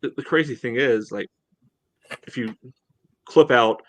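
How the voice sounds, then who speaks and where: A man's voice: mid-range voice, unhurried. A man co-hosting a sports podcast, chatting casually in a rambling way, peppered with "you know". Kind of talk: casual soccer match discussion.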